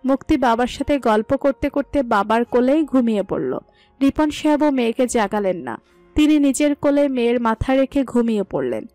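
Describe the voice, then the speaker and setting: A woman's voice: fairly high pitch, animated, normal speed, mellow, no echo, faint music behind. A woman reading a scripted story as its narrator.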